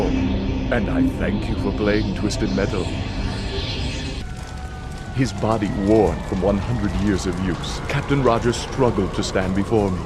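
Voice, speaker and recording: Sly theatrical villain, swooping delivery. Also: male voice, fairly low pitch. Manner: sly, ominous, theatrical storytelling. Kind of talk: theatrical scripted villain narration. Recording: dramatic music behind the voice.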